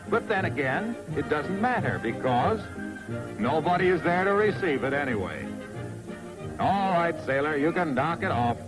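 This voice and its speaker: A man with an American accent narrates a 1940s instructional film in a wry, clearly phrased, scripted manner on a vintage soundtrack. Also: on the high side, poor audio. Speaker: narrator of a military training film.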